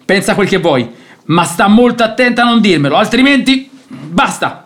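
Blustering nobleman father, high-pitched and swooping. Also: lively intonation, normal speed, a bit bright. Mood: exasperated, angry. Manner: blustering, imperious, exasperated. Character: stubborn, pious, domineering middle-aged nobleman father. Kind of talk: theatrical radio comedy acting.

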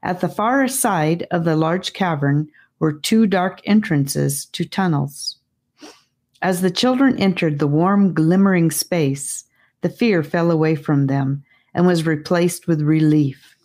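A woman narrating a children's fantasy storybook with expressive, deliberate phrasing. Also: on the low side. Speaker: audiobook narrator.

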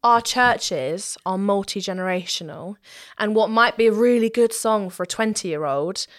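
A woman's voice: medium pitch, moderate pace, fairly bright tone, dry recording, clean studio sound. A woman speaking conversationally as an interview guest, explaining things in a thoughtful way. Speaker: interview guest, church musician and singer.

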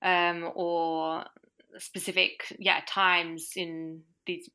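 Bright-voiced woman, chatty interview guest. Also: lowish voice, level tone, drawn-out words. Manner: thoughtful, many restarts and fillers. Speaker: language course provider, guest. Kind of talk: casual interview chat.

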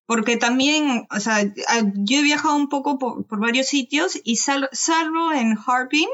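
Slightly high-voiced woman, lo-fi. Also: unhurried, a bit bright. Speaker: podcast co-host. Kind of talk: casual panel discussion. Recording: slightly dull lo-fi sound.

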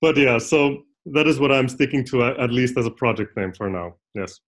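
A young man from Finland, a consultant who talks as a podcast guest in a halting, rambling way with many restarts. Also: medium pitch, steady tone. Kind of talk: casual interview talk.

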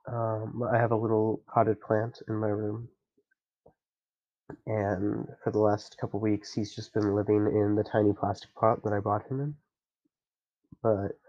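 A man's voice: on the low side, dark tone, lo-fi sound. A young man with a stuffy nose, chatting casually and rambling with lots of fillers as a podcast host. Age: young adult. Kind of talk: casual solo chat.

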